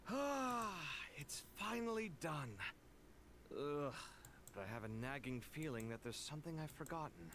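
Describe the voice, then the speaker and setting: A man's voice: a little high, lively intonation, a little slow, fairly bright tone, a little hiss or hum. A man voicing a grumbling, absent-minded character in a video game. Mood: weary, grumbling. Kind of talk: scripted game dialogue.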